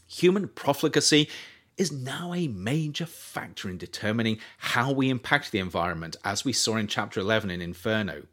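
A man with a fairly low voice reads his own nonfiction book aloud. His pitch swings widely as he leans on the important phrases. The reading stays measured and thoughtful throughout. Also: animated, a bit bright. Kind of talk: nonfiction audiobook narration.

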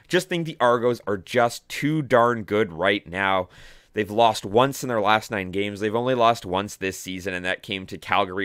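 A hoarse young man talks through his sports betting picks in a loose, chatty way. His voice sits low and sounds slightly rough. The recording is close and dry, with no room sound. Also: fairly bright tone, no echo. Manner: conversational, with frequent fillers and self-corrections. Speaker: sports betting show host. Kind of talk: casual solo sports picks talk.

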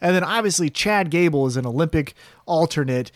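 A man co-hosting a wrestling podcast, talking in a blunt, profane, animated way. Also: a little high, fairly quick, no echo. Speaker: podcast co-host. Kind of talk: casual wrestling chat.

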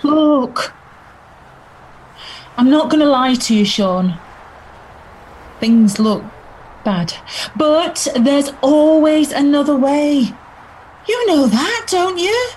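An actress playing a calm, persuasive detective inspector in an audio drama. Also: female voice, sits high, very slow, long pauses, slight background noise, full character voice, poor audio. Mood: calm, coaxing.

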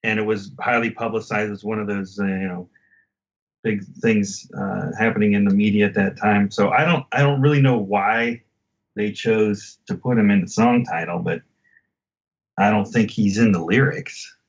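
A man in a casual album discussion as a podcast guest, speaking haltingly and often circling back to rephrase. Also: lowish voice, steady tone, highs cut off.

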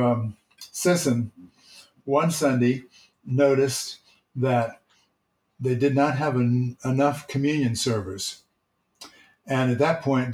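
A church elder shares memories in an unhurried, gentle way, his mid-range male voice steady and warm in delivery. The recording carries a noticeable room echo around him. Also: medium pitch, slight background noise. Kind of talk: casual storytelling. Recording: roomy, echoey sound.

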